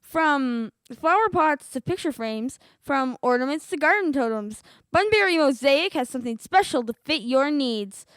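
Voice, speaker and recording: A woman's voice: high-pitched, lively intonation, moderate pace, fairly bright tone, no room sound. A female middle school student co-hosting a radio show, reading scripted sponsor spots. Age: young adolescent, middle school student.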